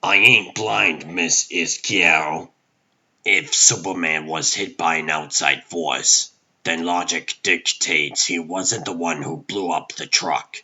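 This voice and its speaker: A man playing a gruff older newspaper editor, curt and dry, in a comic dub. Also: low voice, takes it slowly, pushed and bright, exaggerated voice.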